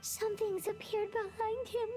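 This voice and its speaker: A woman voicing a tiny, childlike, excitable female companion creature in a video game, with a squeaky, exclaiming delivery. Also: moderate pace, music in the background, poor audio. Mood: startled.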